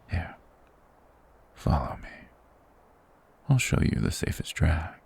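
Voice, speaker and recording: A man performs a mysterious monster who lives secluded in the woods, for an ASMR fantasy roleplay. The voice is extremely deep and heavily creaky, wrapped in a very husky, breathy whisper. The creature speaks in measured, old-fashioned courtesy, softly persuasive and quietly eerie. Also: full character voice. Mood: reassuring, coaxing.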